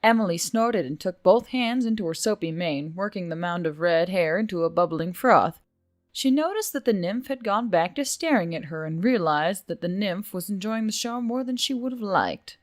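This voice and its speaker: A woman's voice: medium pitch, lively intonation, moderate pace, dry recording, clean studio sound. A woman reading scripted fantasy fiction as an audiobook narrator.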